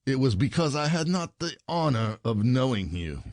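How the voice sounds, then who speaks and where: A man's voice: medium pitch, big pitch swings, moderate pace, darkish tone, dry recording, clean studio sound. A man playing an aggrieved, stubborn common husband, indignant and grudging, in a classic comedy audio play.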